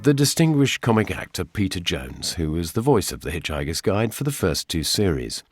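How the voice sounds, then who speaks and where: An older man with an English accent presenting a scripted radio documentary in a measured, gently wry way. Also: deep voice, big pitch swings, breathy edge, no echo, clean studio sound, soft background music.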